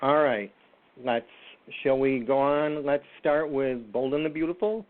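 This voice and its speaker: A man's voice: mid-range voice, a little slow. A man from upstate New York hosting a casual talk show, easygoing as he steers the talk. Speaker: host. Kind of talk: casual chat. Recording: phone-like call audio.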